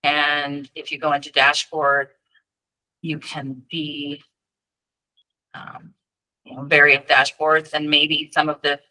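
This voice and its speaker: A woman's voice: low voice, level tone, slow, pauses often, sharp tone, low-quality recording. A woman presenting data tools at an online work meeting, talking in a chatty, informal way with frequent ums and friendly asides. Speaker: data team presenter at a state EMS registry meeting. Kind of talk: virtual meeting presentation with screen share.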